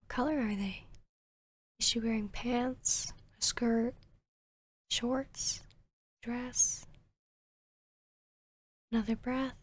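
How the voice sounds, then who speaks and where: A woman's voice: on the high side, fairly even intonation, drawn-out words, pauses often. A woman voicing a seductive, arrogant vampiress posing as a therapist in an ASMR roleplay, half-whispering in a soothing, commanding, teasing way. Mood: soothing, probing. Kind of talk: ASMR roleplay, hypnotic guided induction.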